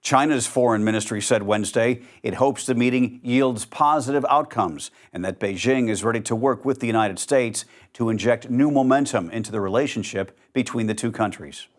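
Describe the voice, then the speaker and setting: A man reading scripted news as the anchor, in a measured, even newsreader delivery. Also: mid-range voice.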